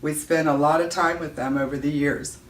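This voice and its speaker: A woman giving an expressive read-aloud of a children's novel, narrating as a storyteller. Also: low-pitched, brisk. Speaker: audiobook-style reader. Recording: roomy echo, distant microphone.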